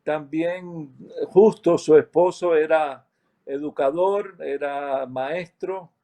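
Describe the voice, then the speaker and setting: A man with a Cuban accent tells a church story at an unhurried pace, his voice sitting a little high for a man. He stops often to search for words and fix what he just said, like someone sharing a personal history. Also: fairly even intonation, warm tone. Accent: Cuban Spanish. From Cuba. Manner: unhurried, with many hesitations and self-corrections. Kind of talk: slow, reflective testimony.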